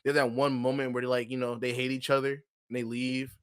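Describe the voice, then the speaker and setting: A man co-hosting a podcast, talking casually and conversationally with lots of slang and animated opinions. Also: mid-range voice, a little fast, clear, resonant tone. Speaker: podcast co-host. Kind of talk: casual movie discussion chat.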